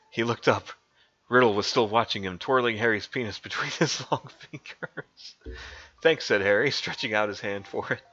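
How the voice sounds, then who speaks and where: A male streamer reads absurd fanfiction in a mock-dramatic way, giggling as he goes. His voice is bright and sharp at a middle pitch. The audio is dull and lo-fi, with faint music underneath. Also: slightly rough. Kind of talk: comic dramatic fanfic reading.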